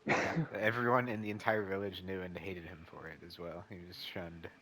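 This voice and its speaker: A man's voice: deep voice, fairly even intonation, brisk, pushed and bright, a little hiss or hum. A man in casual chat who gives short replies and laughs a lot.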